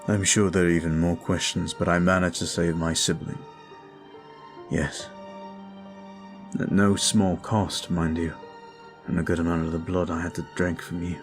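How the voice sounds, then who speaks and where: A man voicing a brooding male vampire who is weary and guilt-ridden, talking slowly and softly in an ASMR roleplay. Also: low-pitched, little pitch movement. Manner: slow, soft, confiding. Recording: faint music behind.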